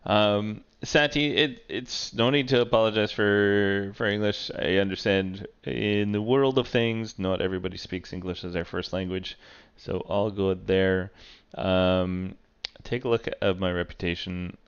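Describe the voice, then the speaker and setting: Deep-voiced man musing over a replay. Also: clear, resonant tone, muffled recording. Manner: relaxed, halting, thinks aloud with many fillers. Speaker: sim racing streamer. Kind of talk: casual replay commentary.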